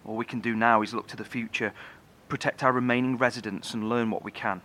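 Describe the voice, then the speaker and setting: A man's voice: medium pitch, fairly even intonation, bright, forceful tone, natural voice. Actor as grave, tense male bunker engineer. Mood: solemn, grieving. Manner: halting, solemn, careful explaining. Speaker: lead engineer. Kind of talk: horror audio drama dialogue.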